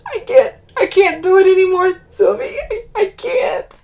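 Distraught woman, played by a female audiobook reader: tearful, high-pitched, broken delivery, pleading and sobbing. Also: expressive, a little slow, darkish tone. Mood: sobbing despair. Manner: gasping, broken stammering delivery. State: crying. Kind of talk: audiobook character dialogue.

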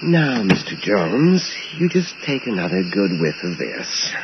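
Sinister dentist, wildly swooping delivery. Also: male voice, fairly low pitch, big pitch swings, measured pace. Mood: smoothly menacing. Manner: smooth reassurance turning to sharp commands. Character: sinister, outwardly genial male dentist with impatient authority. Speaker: actor in radio drama. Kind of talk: 1980s radio horror acting. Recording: dull, very noisy radio recording.

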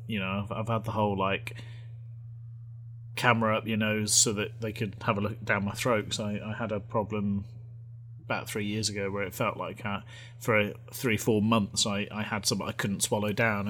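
A man with a slightly croaky voice, co-hosting a podcast with halting, self-correcting talk. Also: fairly low pitch, fairly bright tone, a little hiss or hum. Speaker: podcast co-host. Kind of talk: casual chat.

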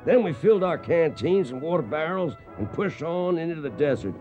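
A male actor plays a cowboy storyteller narrating a radio western. His voice is fairly high. Music runs behind the slightly dull broadcast. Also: expressive, moderate pace, close to an everyday voice. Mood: matter-of-fact. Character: cowboy narrator recalling a hard cattle drive. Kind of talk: scripted radio drama narration.

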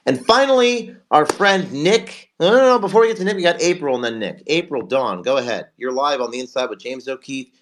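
A male host of a live online talk show, hoarse from a cold, talking in a rapid, rambling way as he promotes sponsors and questions guests. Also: a little high, lively intonation, slight background noise.

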